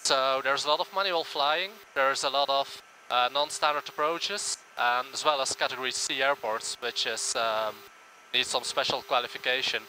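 A man's voice: medium pitch, normal speed, low-quality recording. A man in his early twenties, an airline first officer talking informally and earnestly to camera in a hesitant way. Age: early 20s. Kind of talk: informal talk to camera about his job. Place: small airliner cockpit in flight. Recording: steady engine hum in cockpit.

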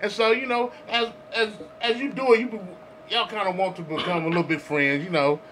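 High-pitched, bright-voiced man debating casually. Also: steady tone, brisk. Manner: insistent, repeats himself. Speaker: podcast co-host. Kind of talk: casual group debate with slang and profanity. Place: small makeshift home studio, indoors. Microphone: podcast mics on boom arms. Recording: noticeable background noise.

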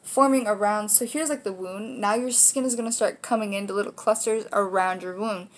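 Casting: American woman, late teens, mid-pitched voice, informal vlog host who explains things in a loose, rambling chat. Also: a little fast. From United States, Kansas. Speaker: video host. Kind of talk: casual vlog chat to camera. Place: small room indoors.

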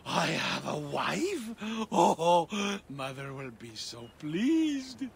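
A man's voice: a little high, big pitch swings, drawn-out words, vocal fry, slight background noise, exaggerated voice. An actor playing a drunk, bewildered young Roman man with a slurred, rambling way of talking. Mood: drunk, silly.

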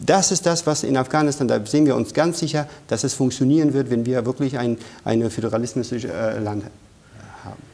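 A man's voice: mid-range voice, a little fast, vocal fry, dark tone. A man in his thirties, a guest in a studio interview, speaking in an earnest, emphatic way. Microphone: lapel.

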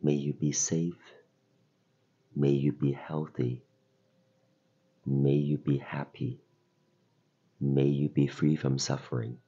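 A man with a very deep, husky voice guides a meditation at an unhurried pace. His phrasing is gentle and soothing, with pauses between instructions. The recording sounds somewhat dull. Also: fairly even intonation. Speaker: meditation guide and podcast host.

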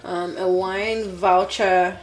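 A woman in her twenties chatting casually in her kitchen for a home vlog, chatty and excitable with frequent laughs. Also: mid-range voice, slow, low-quality recording. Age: 20s. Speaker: vlogger.

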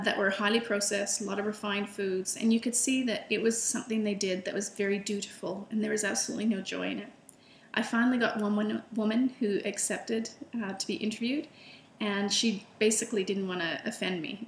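A middle-aged woman talks to camera, chatty and enthusiastic, often restarting her sentences. Her voice sits at an ordinary pitch. The room around her sounds roomy, with a faint hum of ambience. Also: steady tone, fairly quick. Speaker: illustrator and food vlogger. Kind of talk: casual personal storytelling to camera.

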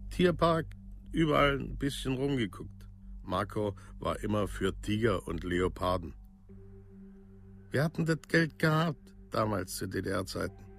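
An actor playing a plain-spoken, worn-down parent in a documentary radio drama, talking in halting, clipped colloquial phrases. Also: male voice, mid-range voice, creaky. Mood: nostalgic. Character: parent looking back on family hardship, plain-spoken, worn down.

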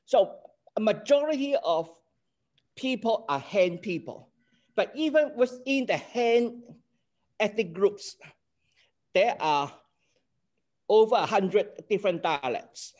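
A man's voice: sits high, expressive, slow pace, pauses often, a little mellow. An older man from Hong Kong, a native Cantonese speaker with a Hong Kong accent, giving an online class lecture over a video call and checking in with "okay?". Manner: earnest, explanatory, checks in with "okay?".

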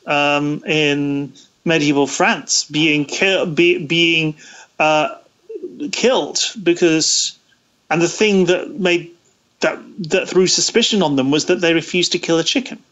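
A man being interviewed as a guest, explaining things in a halting, discursive way with false starts. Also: fairly high pitch, fairly bright tone, muffled recording.

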